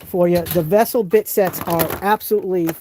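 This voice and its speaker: Mellow-voiced New England man, enthusiastic. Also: high voice, steady tone, moderate pace, slight background noise. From New England, United States. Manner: enthusiastic, rambling with frequent restarts. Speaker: host of a live tool stream. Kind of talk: casual live stream, showing tools and answering chat.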